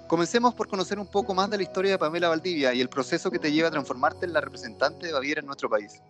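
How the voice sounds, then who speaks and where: A man's voice: on the high side, rapid-fire, fairly bright tone. A man from Chile hosting a podcast, reading a formal introduction and putting questions to his guest. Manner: formal, read-aloud delivery. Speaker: podcast host, trade office representative. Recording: music bed behind the voice.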